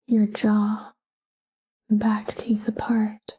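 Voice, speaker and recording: A woman whispers a guided sleep meditation with a very breathy, airy voice. Her delivery is slow and calm, staying almost flat in pitch. She speaks gently, in short phrases with room between them.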